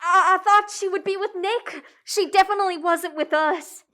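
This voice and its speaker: A woman playing an opinionated, excitable diner customer, emphatic and exaggerated, in a farcical radio play. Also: very high-pitched, moderate pace. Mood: excited, worried.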